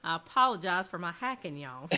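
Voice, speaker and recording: A woman hosting an interview, hoarse from a cold. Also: on the low side, very animated, a little fast, bright, forceful tone. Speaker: interview host. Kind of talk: casual interview chat.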